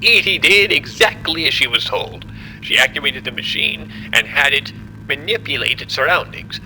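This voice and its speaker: A man playing a formal, measured scientist who explains a disaster in an audio drama. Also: mid-range voice, lively intonation, sharp tone, a little hiss or hum, rough recording. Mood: grave, deliberate. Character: apologetic, condescending male scientist explaining a disaster.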